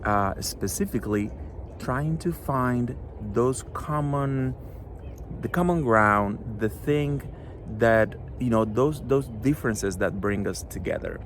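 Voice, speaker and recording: A middle-aged man from Cuba, a Spanish native speaker with a Cuban accent, talks earnestly to a school audience about his children's book, pausing often with fillers. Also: mid-range voice, expressive, a little hiss or hum. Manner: earnest, halting, many fillers. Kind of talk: recorded address to a school audience.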